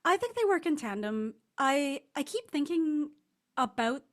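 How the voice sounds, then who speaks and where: A woman's voice: high voice, very animated, a little slow. A woman speaking as an author guest in an interview, answering in a casual, reflective way.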